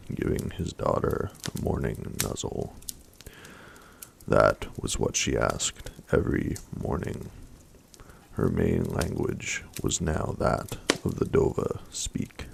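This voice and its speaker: A man reading a fantasy story aloud in a hushed, mostly whispered ASMR style. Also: a bass voice, vocal fry. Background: faint campfire crackle.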